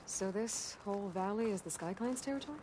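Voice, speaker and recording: A woman's voice: medium pitch, a little fast, background noise, lightly acted. An actress playing a capable young female adventurer in a video game, direct and inquisitive with a dry manner. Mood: curious, direct. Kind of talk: naturalistic video game character acting.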